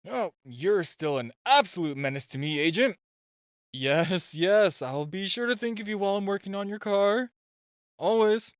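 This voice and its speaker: A man's voice: fairly high pitch, clean tone, no echo, studio quality. A man playing a super-shy spy gadget guy in a romantic comedy audio roleplay, nervous and stammering, easily flustered. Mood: shy, fond. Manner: nervous, stammering, halting, self-interrupting.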